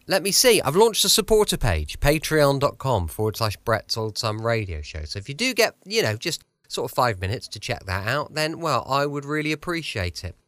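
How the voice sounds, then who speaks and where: Podcast host: British male, mid-pitched, very lively intonation, chatty and rambling, dry close sound. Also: no echo. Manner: rambling, conversational asides. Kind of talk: informal, chatty podcast introduction.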